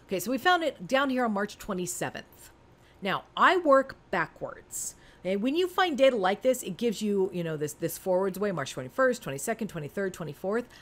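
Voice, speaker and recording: Female instructor, forties, highly animated delivery, informal and emphatic, walking a learner through material. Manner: conversational, emphatic, talks to the learner with rhetorical questions. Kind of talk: informal screen-recorded lesson, explaining step by step.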